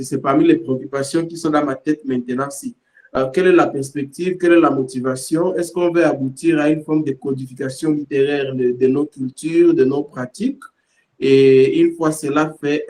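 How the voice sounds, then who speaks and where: A man sharing his thoughts in a panel discussion, halting and full of fillers and repeated words. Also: a little high, a little mellow. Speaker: panel participant. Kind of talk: hesitant spoken reflection.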